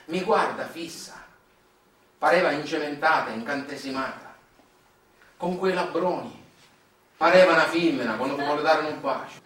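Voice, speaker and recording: A man's voice: fairly high pitch, moderate pace, long pauses, a bit bright, lightly acted. Male actor as nostalgic Sicilian villager, chatty theatrical monologue, folksy dialect, distant mic, strong room echo. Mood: animated, amazed. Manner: folksy, conversational, slipping into dialect. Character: nostalgic, chatty Sicilian small-town man telling childhood memories. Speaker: stage actor performing a monologue. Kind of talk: colloquial theatrical storytelling monologue. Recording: roomy, echoing, distant mic, faint noise.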